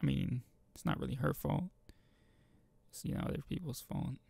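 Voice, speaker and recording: A man giving a casual let's play of a visual novel, reading text aloud and rambling as he thinks out loud. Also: mid-range voice, normal speed, gravelly, breathy edge, no room sound. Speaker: gaming video host.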